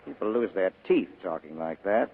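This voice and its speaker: Radio noir lead: low husky private eye, blunt, clipped, tough, on muffled old broadcast. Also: male voice, expressive, moderate pace. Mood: tough, threatening. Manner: clipped, hard-boiled, insistent. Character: tough, blunt, wisecracking male private detective. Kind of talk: 1940s radio acting.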